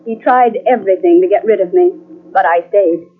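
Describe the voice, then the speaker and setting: An actress in an old-time radio mystery playing a guarded, principled secretary who speaks with formal restraint. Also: female voice, medium pitch, fairly quick, soft background music, lightly acted. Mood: grave recounting. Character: guarded, principled female secretary narrating her ordeal. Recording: tinny, band-limited old radio cassette transfer.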